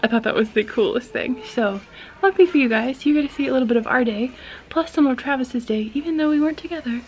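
A woman's voice: high-pitched, fast talker, a little mellow. Chatty woman vlogging on a phone. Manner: chatty, lots of "like" fillers. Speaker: family vlogger. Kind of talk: casual vlog chat. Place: indoors by a window, then outdoors. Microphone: phone camera at arm's length.

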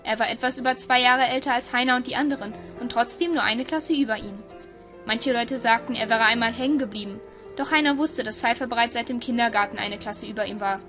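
A woman's voice: fairly high pitch, a little fast. A woman narrator reading a scripted audio story. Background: music.

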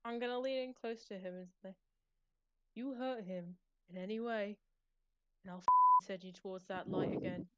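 A woman's voice: mid-range voice, wildly swooping pitch, moderate pace, long pauses, pushed and bright, no echo, rough recording. A woman playing an online tabletop game, talking through her character's move and threats. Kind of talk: animated game chat.

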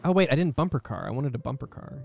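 A man in his thirties streams casually at a close mic, talking to viewers in a wry, joking way. His voice sits at a middle pitch with a little gravel in it. Faint guitar music plays behind him. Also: lively intonation, fast talker. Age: 30s. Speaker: video game streamer. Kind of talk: casual chat with live viewers. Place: indoor room at home, streaming setup.

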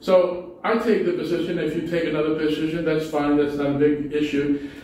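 Pastor with a Spanish accent, echoey hall. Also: male voice, fairly high pitch, level tone, a little fast, darkish tone, far from the mic. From Spanish-speaking country. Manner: conversational preaching with frequent restarts and asides. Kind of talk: sermon. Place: small church hall with low ceiling. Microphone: pulpit mic, far camera.

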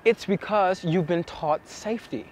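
A young man with a slightly high voice talks to camera as a coach. He phrases his points deliberately and emphatically, pausing as he goes. He is outdoors on a city street, with some light noise around him. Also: darkish tone. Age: young adult. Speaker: dating coach. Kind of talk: explaining to camera. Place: outside on a city street by a large building.

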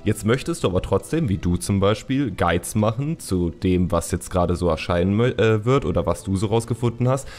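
A man's voice: lowish voice, animated, brisk, few pauses, darkish tone. A man hosting a podcast in casual, candid conversation, speaking colloquially and repeating words for emphasis. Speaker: podcast host and YouTuber.

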